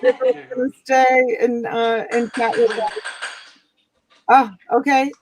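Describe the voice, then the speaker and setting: A woman's voice: on the high side, level tone, slow, background noise. A woman, hosting a casual live chat with many fillers and restarts. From Italy. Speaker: livestream host.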